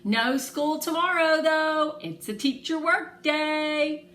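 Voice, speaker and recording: A woman's voice: high-pitched, slow pace, fairly bright tone, pitched above the performer's own voice. A woman voicing a bold, bouncy, proud young girl with an emphatic, cheeky manner in a storybook reading. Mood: gleeful. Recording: roomy echo, faint background noise.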